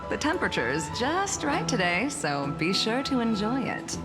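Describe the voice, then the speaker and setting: A woman's voice: a little high, big pitch swings, normal speed, loud music behind the voice. A woman voicing a teasing, unruffled commander with a menacing edge in a video game, playful and mocking. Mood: teasing, languid. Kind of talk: video game character acting.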